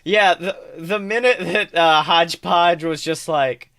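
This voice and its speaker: A woman's voice: fairly low pitch, a little slow, a bit bright. A woman co-hosting a podcast, chatting casually as a fan and swearing freely. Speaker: podcast co-host.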